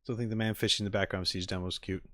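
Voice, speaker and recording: A man hosting a livestream, chatting casually and playfully with viewers, rambling and often swinging up into high-pitched emphasis. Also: fairly even intonation, very fast, a bit bright, no echo. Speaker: streamer and reaction video host.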